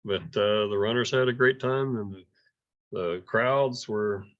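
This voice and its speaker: Man, deep voice, very slow and halting, informal club report, muffled lo-fi sound. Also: a bit bright. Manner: halting, hesitant delivery with repeated words. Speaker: amateur radio club member giving a report at a meeting. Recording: dull, muffled lo-fi audio.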